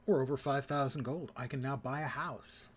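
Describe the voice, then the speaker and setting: A man's voice: medium pitch, moderate pace. A man streaming a game, giving casual commentary and muttering his thoughts aloud to himself. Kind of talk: casual live gameplay commentary. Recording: clear background game noise.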